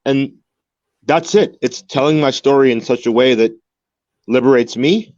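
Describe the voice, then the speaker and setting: A man's voice: medium pitch, normal speed, darkish tone, no room sound, muffled recording. Man in his 40s telling his story, earnest, keeps repeating words, dry sound. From United States. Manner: earnest, repeats words while searching for them. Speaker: guest. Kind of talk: candid interview conversation. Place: home in Mexico.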